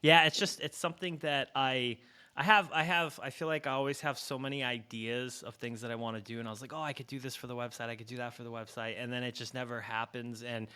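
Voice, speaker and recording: Man, 40s, podcast host, rambling and jokey, bright tense tone, smooth clear voice. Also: medium pitch, steady tone, quick pace. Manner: rambling, jokey, laughs often. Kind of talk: casual interview chat.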